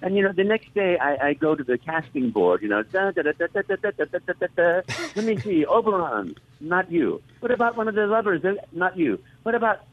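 A man, a voice actor, telling stories as an interview guest with warm enthusiasm and excited repetitions. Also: high voice, a little fast, hardly any pauses. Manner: warm, enthusiastic, repeats words when excited. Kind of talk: storytelling interview talk. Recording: dry, thin phone-like line.

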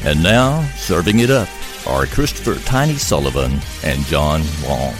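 A man reading a scripted show intro in a booming announcer delivery. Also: big pitch swings, moderate pace. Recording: music bed under voice.

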